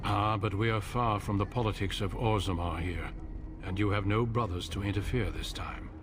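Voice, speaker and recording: An actor playing a stern, solemn veteran commander of a warrior order in a fantasy video game, speaking with measured authority. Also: male voice, lowish voice, noisy background, lightly acted. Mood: grave, commanding.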